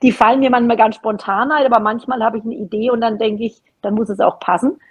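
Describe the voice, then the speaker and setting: A woman's voice: a little high, steady tone, fast, breathy edge. A middle-aged woman speaking casually as a guest in a podcast conversation. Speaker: podcast guest, hobby knitting creator. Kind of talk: casual chat. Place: small home room at a table, indoors.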